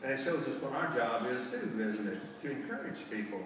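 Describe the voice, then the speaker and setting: Older lay preacher, folksy and conversational, heard from far back in a reverberant church. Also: male voice, mid-range voice, expressive, a little fast, noisy background. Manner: folksy, asks rhetorical tag questions. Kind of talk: sermon. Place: large church sanctuary, indoors. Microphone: far camera at the back of the sanctuary. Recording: echoey church hall, distant camera.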